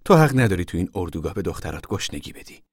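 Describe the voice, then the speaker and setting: A man voicing a stern, indignant committee woman in a story, sharp, scolding and commanding. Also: low-pitched, very animated, no room sound, clean studio sound. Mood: brisk, ordering.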